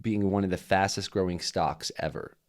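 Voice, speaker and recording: A man's voice: sits low, fairly even intonation, moderate pace, darkish tone. A man hosting a casual interview, rambling with many restarts. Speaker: podcast host.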